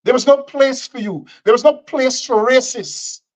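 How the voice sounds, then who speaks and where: A man's voice: very high voice, moderate pace, poor audio. A man from Guyana, delivering combative political commentary and repeating phrases for emphasis.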